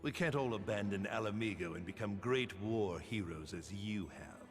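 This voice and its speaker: An actor playing a bitter, gloating traitor in a video game, mocking and theatrical with archaic speech. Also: male voice, lowish voice, animated, moderate pace, natural voice. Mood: gloating, taunting. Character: gloating, bitter male traitor, taunting old comrade. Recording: reverberant hall, music behind.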